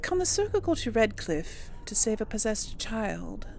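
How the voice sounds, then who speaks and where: A woman's voice: on the high side, big pitch swings, normal speed, creaky, darkish tone, slight background noise. A woman hosting a casual game playthrough, thinking aloud hesitantly and murmuring to herself as she goes. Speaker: gaming video host.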